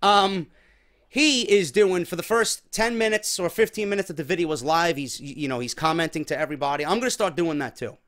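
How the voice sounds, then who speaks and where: A man's voice: on the high side, fairly quick, fairly bright tone. A man with a New York accent hosting a podcast, chatting casually to viewers in an informal, emphatic, sweary way. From New York, USA. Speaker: podcast host.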